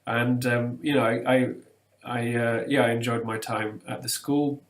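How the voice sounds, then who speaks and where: A man's voice: mid-range voice, little pitch movement, a little slow, reverberant. A man, talking through ideas in a thoughtful, hesitant way with many fillers and restarts. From England. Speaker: webinar co-presenter. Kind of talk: casual academic discussion. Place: room lined with bookshelves, indoors.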